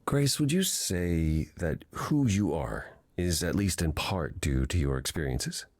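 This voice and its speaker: A weary, ancient god in a video game, guiding a newcomer with gentle patience. The voice is low and a little husky, recorded dry and close, with a measured, thoughtful delivery. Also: male voice, expressive, no echo, heavily acted. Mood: patient, reassuring. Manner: measured, reflective, explanatory.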